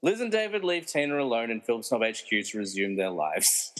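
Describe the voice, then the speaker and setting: A man's voice: mid-range voice, very animated, fairly quick, fairly bright tone, in a large hall. A man narrating a scripted radio play as a dramatic storyteller with wry asides.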